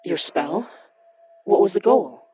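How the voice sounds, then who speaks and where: A man playing a determined, guarded occult investigator in a horror audio drama, with a measured, probing delivery. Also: high voice, animated, darkish tone, slightly rough, dry recording, full character voice. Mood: curious, intent. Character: determined, guarded young occult investigator who summons spirits.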